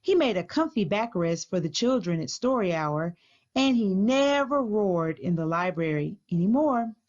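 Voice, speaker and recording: A woman reading a children's storybook aloud as a teacher would, clearly, deliberately and expressively. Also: mid-range voice, expressive, a little mellow, dull audio.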